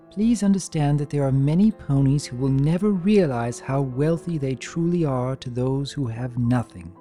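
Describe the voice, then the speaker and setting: Performer voicing a genteel, soft-toned young pony lady over music. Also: medium pitch, close to an everyday voice. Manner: genteel, earnest, measured. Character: Rarity, refined sincere young female pony diarist. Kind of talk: dramatic reading of diary entries.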